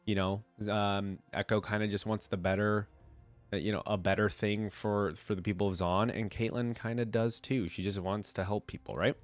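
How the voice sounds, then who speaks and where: A man's voice: fairly low pitch, flat delivery, moderate pace, faint music behind. A man giving casual, rambling fan commentary as a reaction video host, his voice nasal and congested from a cold. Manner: rambling, hedging, informal.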